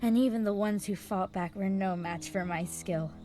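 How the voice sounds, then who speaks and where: A woman playing a traumatized, vengeful mage in a dramatic audio roleplay monologue, speaking with emotion in deliberate, halting phrases. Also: medium pitch, natural voice. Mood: cold, resolute. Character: traumatized, vengeful female mage recounting her past. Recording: music bed behind the voice.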